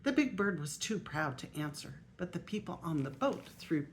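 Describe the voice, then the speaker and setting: An older woman, a grandmother, reading a children's picture book aloud in a deliberate way with emphasized story words. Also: low voice, very animated. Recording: faint noise on homemade video.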